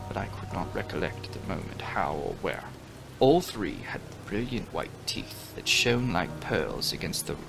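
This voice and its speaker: A man giving a theatrical dramatic audiobook reading of a gothic novel, shifting between hushed passages and strong emphasis. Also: lowish voice, vocal fry, poor audio. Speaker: audiobook narrator.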